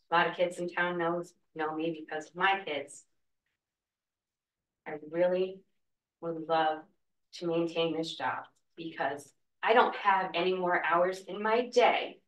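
A woman's voice: fairly low pitch, fairly bright tone, recorded from a distance. Woman pleading from a podium, echoey room. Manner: earnest, emotional plea. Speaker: school cafeteria worker speaking at public comment. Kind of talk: public comment at a school board meeting. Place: meeting room, at a wooden podium. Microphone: podium mic, heard from a far camera. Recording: echoey room, voice heard from afar.

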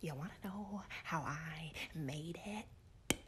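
A woman playing a dreamy person who whispers slowly and breathily about her morning coffee. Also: low voice, noisy background, voice pushed down. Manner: slow breathy whisper, giggly.

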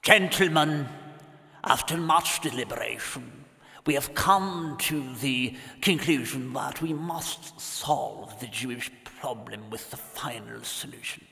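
A scheming tyrant king speaks in a low, hushed, calculating murmur, as a man storyteller puts him on. He gives cruel orders with cold control. Music plays under the voice. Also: slow, gravelly, big-room sound, full character voice. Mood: cold, commanding. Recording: music playing behind.

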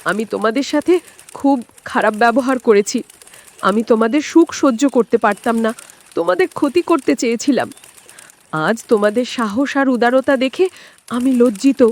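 Woman playing guilty cartoon crow, highish voice, plaintive, humbled, contrite delivery. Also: moderate pace. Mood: ashamed, pleading. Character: proud male crow turned guilty and humbled. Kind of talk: children's cartoon voice acting.

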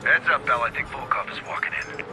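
An actor playing a discreet spy on covert surveillance in a video game, speaking in a clipped, businesslike way. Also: male voice, medium pitch, fairly even intonation, fairly quick, over music, natural voice. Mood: alert, hushed urgency. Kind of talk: video game cinematic acting. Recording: loud background ambience over dialogue.

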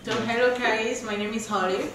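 A woman's voice: a little high, steady tone, normal speed, background noise. Young woman, hesitant, lots of fillers, distant echoey mic. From Gabon. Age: young adult. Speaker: guest. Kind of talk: casual group discussion. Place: living room, seated on a couch. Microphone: far camera. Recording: roomy echo from a distant mic.

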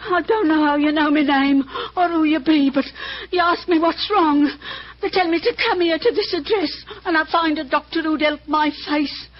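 A woman's voice: sits high, moderate pace, natural voice. An actress playing a poor, distressed old woman with a working-class London accent in a 1940s radio drama, plaintive and agitated. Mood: distraught. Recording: muffled, noisy old radio recording.

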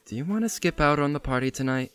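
A man playing a young male vampire, hot-tempered yet tender, in an animated roleplay drama. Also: fairly high pitch, fast, clean tone. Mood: gentle, concerned.

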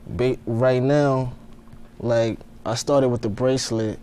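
A man's voice: medium pitch, fairly even intonation, slow, mellow. A young man from Ghana, a fashion designer, answering interview questions in a laid-back, casual way in a TV studio. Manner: laid-back, lots of fillers like "you know what I mean".

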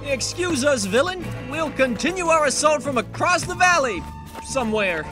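A performer voicing an excitable male Western lawman in a cartoon comic dub, exclamatory and melodramatic. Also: high-pitched, moderate pace, music in the background. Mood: indignant.

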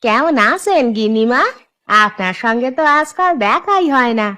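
A woman plays a village woman in a cartoon. She is respectful and friendly, with a high, very clear and smooth voice. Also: lively intonation, normal speed, sharp tone. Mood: polite, concerned. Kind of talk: Bangla cartoon voice acting.

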